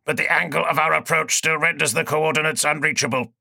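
A man's voice: a little high, steady tone, quick pace, creaky, pushed and bright, dry recording, voice pushed up, heavily acted. A man voicing a cold, literal, machine-like male alien tech-priest who reports figures precisely. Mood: clinical.

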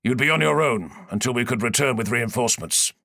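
A man's voice: medium pitch, brisk, gravelly, bright, forceful tone, no echo, studio quality. A man voicing a blunt, loyal male starship captain who reports grimly to his lord. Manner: grim, plain-spoken.

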